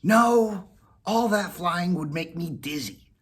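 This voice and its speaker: A man voicing Whiskers, a small boastful male cat, in a high whiny voice for a storybook reading. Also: animated, takes it slowly, fairly bright tone, slightly rough, exaggerated voice.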